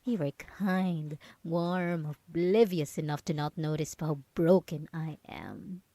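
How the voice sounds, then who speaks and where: A woman's voice: sits low, takes it slowly, dark tone, no echo, full character voice. A woman playing a possessive, mocking yandere roommate in an ASMR roleplay, teasing and condescending as she lingers on her words. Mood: possessive, wounded. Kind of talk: dramatic ASMR roleplay monologue.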